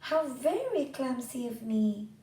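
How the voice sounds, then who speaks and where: Woman voicing an eager young beetle, high-pitched. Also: big pitch swings, unhurried, warm tone. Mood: embarrassed. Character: young, eager, clumsy little click beetle. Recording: roomy echo, distant from microphone.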